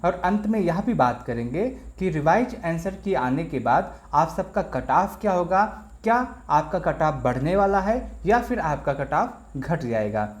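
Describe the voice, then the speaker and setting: A man's voice: on the high side, a little mellow. A man hosting an exam-prep explainer video, addressing viewers directly as friends and walking through worked examples step by step, often repeating himself.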